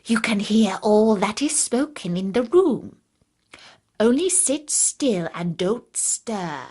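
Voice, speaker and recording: A woman voicing a very old, kindly but sly grandmother in a fairytale, hushed and deliberate. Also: mid-range voice, expressive, husky. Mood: conspiratorial.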